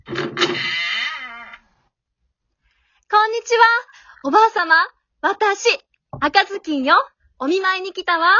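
Woman doing a bossy vain young girl, really high bright voice, swoops around, coy and haughty, radio drama. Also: animated, normal speed, dry recording, dull audio, exaggerated voice. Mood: sweet, pushy. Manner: haughty, coy, pushy ladylike speech. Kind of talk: amateur radio drama acting.

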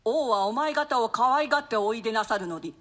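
Woman playing grieving old duchess, bright edged voice. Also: a little high, expressive, a little fast. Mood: gentle scolding. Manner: lamenting, theatrical, admonishing. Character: grieving, stern old duchess, grandmother to orphans. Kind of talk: dramatic reading of a classic stage play.

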